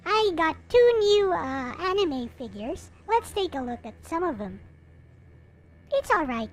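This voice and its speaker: High-pitched, slow-talking woman streamer. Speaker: live stream host. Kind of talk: casual live stream chat.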